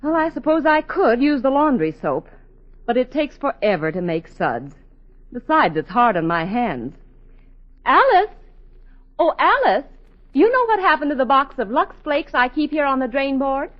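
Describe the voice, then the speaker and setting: A busy housewife, fussing and muttering to herself in a high, very animated voice. It sounds like a dull old 1940s radio recording of a sponsor sketch. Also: female voice, exaggerated voice. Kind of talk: 1940s radio advert acting.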